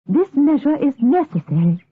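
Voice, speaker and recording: A woman's voice: high voice, very animated, normal speed, no echo. An actress playing a stern, protective widowed mother in a period audio drama, formal and wary. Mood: firm, tender. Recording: dull lo-fi radio drama recording.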